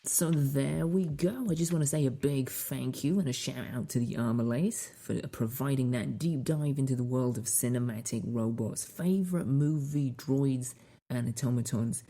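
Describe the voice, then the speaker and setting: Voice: medium pitch, normal speed, warm tone. A podcast host delivering a conversational wrap-up to the listeners.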